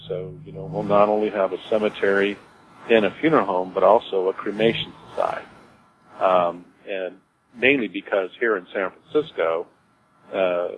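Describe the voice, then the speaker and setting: A low-pitched man gives informal interview answers as an executive. He explains things haltingly and often repeats his words. The sound is dull and lo-fi, with clear noise. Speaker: cemetery executive being interviewed.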